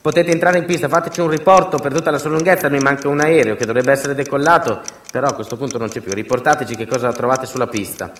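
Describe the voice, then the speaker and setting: A man's voice: medium pitch, fast talker, hardly any pauses, a bit bright, lightly acted. Man acting harried airport controller, echoey and distant. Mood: urgent, commanding. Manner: clipped, hesitant, urgent radio and phone talk. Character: harried, confused male airport tower controller. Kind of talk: theatrical re-enactment on stage. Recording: roomy, distant mic, faint noise.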